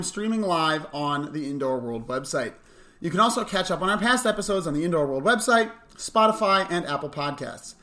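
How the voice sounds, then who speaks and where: A man's voice: on the high side, fairly bright tone. A man co-hosting a morning show with casual, upbeat announcements. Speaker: show host.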